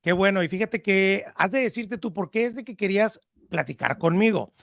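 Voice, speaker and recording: Male podcast interviewer, high voice, informal and talkative, recorded dry. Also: animated, moderate pace, gravelly, a bit bright, no echo. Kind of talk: casual interview chat.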